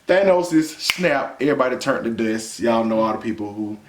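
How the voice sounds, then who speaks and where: A man's voice: mid-range voice, expressive, moderate pace, noisy background. An American man in his thirties giving a casual, colloquial movie review as a vlog co-host, stressing points with short repeated phrases. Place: small indoor room with bare wall. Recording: echoey untreated room.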